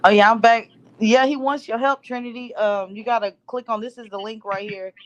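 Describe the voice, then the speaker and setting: A woman hosting an online call-in chat, casual and quick to agree with her guests. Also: a little high, steady tone. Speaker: host of a call-in talk show.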